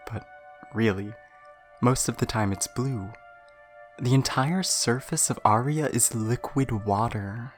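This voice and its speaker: Weary, slow-talking man, played by an actor, halting and guilt-ridden. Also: medium pitch, faint music behind, lightly acted. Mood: hesitant, reflective. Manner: hesitant, apologetic, confessional. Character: weary, guilt-ridden ailing male starship crew member confessing. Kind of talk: audio drama acting.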